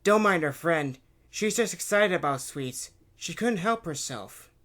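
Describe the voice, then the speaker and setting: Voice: medium pitch, expressive, measured pace, a bit bright, voice pushed up. A narrator voicing a helpful, self-assured schoolboy who explains things patiently like a know-it-all in a story. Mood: placating.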